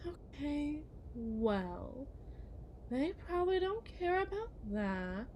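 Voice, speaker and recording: A woman's voice: sits high, very animated, takes it slowly, a little mellow, a little hiss or hum, full character voice. A woman playing a genteel, possessive kidnapper wife in a theatrical ASMR roleplay, condescending and syrupy sweet with veiled menace. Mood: condescending, insinuating. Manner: mannered, condescending, syrupy endearments, veiled threats.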